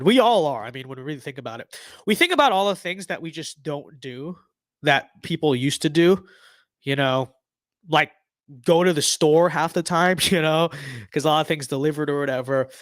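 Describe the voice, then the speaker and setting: Young male streamer, hoarse voice, slightly high, rambling casual talk, dry close mic. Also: lively intonation, moderate pace, no echo. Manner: rambling, filler-heavy, many restarts. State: losing his voice. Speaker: livestream host. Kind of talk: casual livestream commentary.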